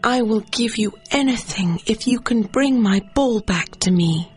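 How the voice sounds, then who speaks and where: A woman playing an earnest young princess in a children's cartoon fairy tale, with a breathy, dramatic delivery. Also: a little high, expressive, unhurried, a little mellow, soft background music, exaggerated voice. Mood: pleading.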